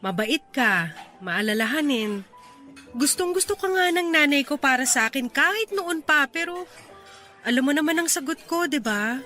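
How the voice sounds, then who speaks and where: A young woman acting a radio drama heroine, calm and firm as she gently declines a friend's love. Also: high voice, normal speed. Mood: gentle. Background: faint music.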